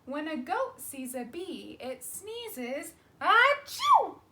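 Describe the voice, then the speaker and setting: Animated, high-voiced woman reading a storybook. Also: slow. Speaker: teacher. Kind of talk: animated children's storybook read-aloud.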